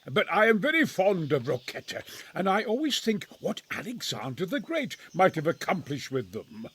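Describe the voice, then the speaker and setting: A man playing a courteous, proud medieval siege master in a video game, speaking in a formal, eloquent, expressive manner. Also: on the high side, slightly rough, full character voice. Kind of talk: dramatic video game acting.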